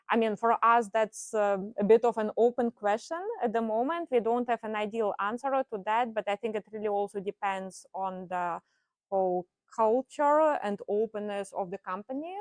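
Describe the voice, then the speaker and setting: A woman talks through her ideas on a panel, pausing on fillers and checking in with "right?" as she goes. Her voice sits mid-range, and the sound is dry and close. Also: mid-range voice, no room sound. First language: Russian. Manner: thoughtful, frequent "right?" tags and fillers. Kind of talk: panel discussion.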